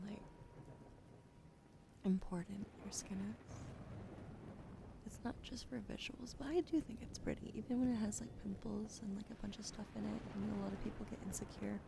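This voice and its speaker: A woman whispers close to the mic in a relaxed, playful ASMR style, her voice carrying a gravelly, fry-tinged texture. She still has a slight cough from a recent illness. A steady hiss sits under the home-stream audio. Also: mid-range voice. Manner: soft whispering, chatty and playful. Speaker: livestreamer.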